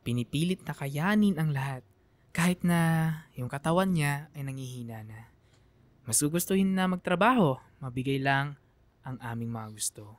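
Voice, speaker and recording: A woman's voice: deep voice, lively intonation, moderate pace, no echo. A woman with a Filipino accent giving an emotive, reflective scripted narration for a radio drama.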